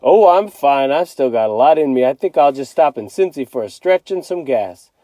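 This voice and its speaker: A man's voice: mid-range voice, lively intonation, moderate pace, dark tone, no room sound, lightly acted. Man reading as an easygoing, jovial storybook dad. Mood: breezy. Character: easygoing, joking, reassuring father of a family. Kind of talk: read-aloud of a children's novel.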